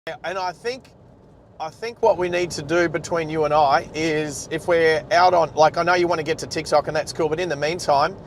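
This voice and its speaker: An Australian man chatting casually and ramblingly as he hosts a livestream from inside a moving car. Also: brisk. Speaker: livestream host, driver. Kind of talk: casual chat. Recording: car rumble and road noise.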